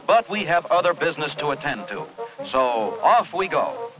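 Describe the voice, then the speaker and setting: Casting: American male narrator, 1950s newsreel delivery, slightly high voice, dramatic and folksy; vintage film sound with prominent music bed. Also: big pitch swings, moderate pace, dull audio. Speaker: documentary narrator. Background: loud background music.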